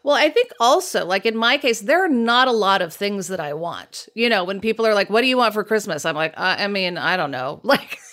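Lively woman co-host, quick to laugh. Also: medium pitch. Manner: laughs easily, says "like" often. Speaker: podcast co-host. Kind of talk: casual chat.

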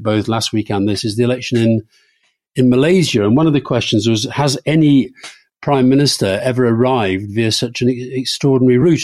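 A man's voice: medium pitch, darkish tone, dry recording. A man co-hosting a podcast, talking politics with wry teasing and frequent restarts. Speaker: podcast co-host. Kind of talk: political discussion.

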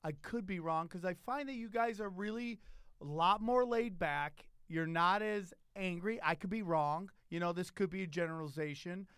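A comedian hosting a casual interview, rambling and joking loosely with some swearing. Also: male voice, high voice, moderate pace, fairly bright tone, clear, resonant tone, no room sound, studio quality. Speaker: podcast host, stand-up comedian.

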